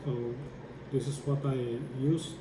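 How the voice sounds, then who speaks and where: A man giving a hands-on how-to demonstration, explaining step by step in a halting way as he works. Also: mid-range voice, flat delivery. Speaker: tutorial presenter. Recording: echoey room with clear background noise.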